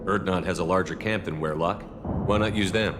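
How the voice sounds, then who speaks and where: An actor playing a steady, pragmatic male military commander in a video game, matter-of-fact and direct. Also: low voice, fairly quick, background noise, natural voice. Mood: probing. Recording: background music under the voice.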